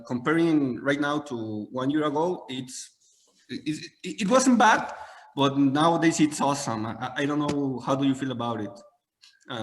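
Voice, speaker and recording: Mexican-accented caller, non-native English, hesitant, courteous, reverberant sound. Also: male voice, medium pitch. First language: Spanish. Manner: halting, polite, repeats words. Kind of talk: call-in question.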